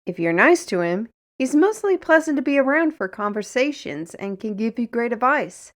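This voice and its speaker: A woman's voice: on the high side, big pitch swings, normal speed. A woman playing a wry, exasperated fantasy adventurer in an audio drama, with a dry, teasing way of talking. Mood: wry, casual.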